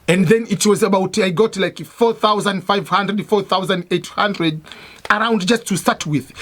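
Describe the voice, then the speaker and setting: A man with a fairly high voice talks through his points at an unhurried pace. He is a native Swahili speaker and a veteran who explains patiently, repeats himself and fills his pauses with "uh" and "I mean". It sounds like an informal advice talk. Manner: steady, repetitive explaining with many fillers and restarts. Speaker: military veteran giving advice.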